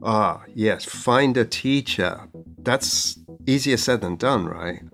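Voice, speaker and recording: A man's voice: fairly low pitch, expressive, slow, vocal fry, a bit bright, soft background music. A man hosting a podcast in a conversational style. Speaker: podcast host.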